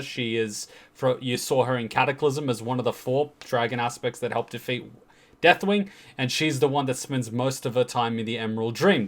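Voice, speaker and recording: A man talks casually and enthusiastically in a mid-range voice, explaining fantasy lore while he reacts. He rambles a little, with fillers and quick self-corrections. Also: medium pitch. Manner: chatty and enthusiastic, with fillers and restarts. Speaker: reaction video host. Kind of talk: casual commentary over game cinematics.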